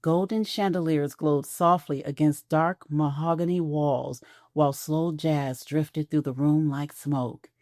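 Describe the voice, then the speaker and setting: A woman's voice: low voice, fairly even intonation, unhurried, few pauses, darkish tone, no room sound, studio quality. A woman reading scripted narration for a romantic audio drama.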